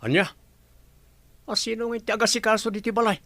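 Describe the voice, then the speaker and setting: Stingy husband, argumentative, slightly high voice. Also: male voice, steady tone, fast, fairly bright tone, no room sound. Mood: annoyed. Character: tight-fisted, argumentative husband and dutiful son. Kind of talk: Ilocano radio drama acting.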